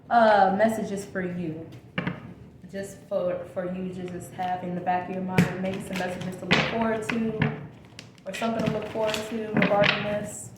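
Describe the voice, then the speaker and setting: A woman's voice: medium pitch, steady tone, a little slow, echoey room. A woman giving a casual tarot reading to her viewers, repeating reassurances and checking in with "okay?", her voice a little scratchy from a coming cold. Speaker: tarot reader.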